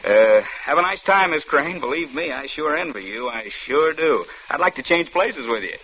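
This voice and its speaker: A man's voice: a little high, expressive, moderate pace, over loud music. An actor playing an affable, slightly awkward delivery man who talks hesitantly in a 1940s radio drama. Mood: friendly awkward. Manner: hesitant, folksy, stammering politely. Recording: dull old radio recording.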